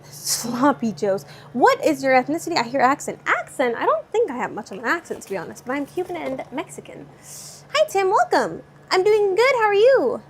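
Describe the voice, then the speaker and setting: A woman's voice: high voice, sing-song, moderate pace, a little hiss or hum. A young woman from Southern California with a light Californian accent, chatting casually with viewers while she cooks in her home kitchen and reads out their comments. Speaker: vlogger cooking live and answering chat. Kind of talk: casual live-stream chat.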